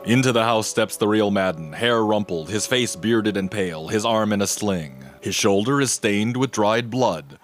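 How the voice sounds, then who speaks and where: A man's voice: lowish voice, moderate pace, hardly any pauses, soft background music, close to an everyday voice. A man reading scripted narration, describing scenes in the present tense for an audio drama.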